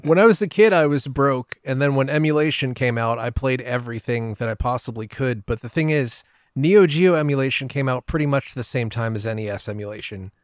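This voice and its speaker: Male podcast guest, medium pitch, dry recording, relaxed informal gaming talk. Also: a little fast, hardly any pauses, no echo. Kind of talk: casual gaming podcast chat.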